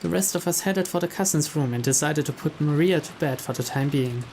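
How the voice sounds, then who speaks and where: A man narrating a visual novel playthrough, reading the story aloud in a measured way with small stumbles. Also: fairly high pitch, a little mellow. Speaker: narrator, game playthrough host. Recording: faint rain noise behind.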